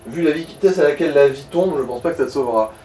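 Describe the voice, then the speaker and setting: A man's voice: fairly high pitch, fast talker, warm tone, a little hiss or hum. Man commenting on gameplay in an echoey room. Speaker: co-commentator advising the player. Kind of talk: casual gaming commentary. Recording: echoey room, music behind.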